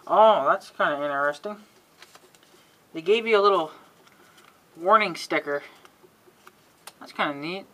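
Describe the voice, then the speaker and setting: A man's voice: high-pitched, a little slow, long pauses, fairly bright tone. A man hosting a casual, rambling hands-on demonstration, folksy and half muttering to himself. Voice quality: frequent throat clearing. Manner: folksy, muttering to himself, mild exasperated cussing. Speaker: video host showing an antenna assembly. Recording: clearly noisy homemade recording with clicks.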